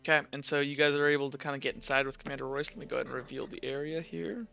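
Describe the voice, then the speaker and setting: A man narrating a tabletop game as game master in a casual, halting, conversational way. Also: a little high, vocal fry, bright, forceful tone. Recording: slightly dull, lo-fi audio. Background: music.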